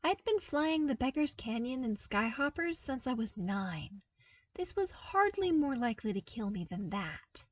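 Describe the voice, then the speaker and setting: A woman's voice: a little high, very animated, measured pace, no room sound, voice pushed up. A woman voicing a young man, a defensive former smuggler in an audiobook, who explains things plainly and dismissively. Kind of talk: audiobook character dialogue.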